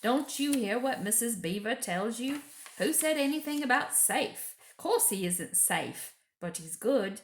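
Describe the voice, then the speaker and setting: A woman's voice: a little high, lively intonation, unhurried, reverberant, voice pushed up. A woman voicing an earnest, reverent male talking beaver from a children's storybook, with an emphatic, folksy manner.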